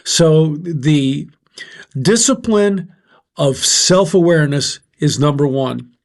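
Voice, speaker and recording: An older man leads a group talk in a loud, instructive way, counting off his points as he goes. His voice sits a little high for a man. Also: lively intonation, slow. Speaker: host. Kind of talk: discussion facilitator.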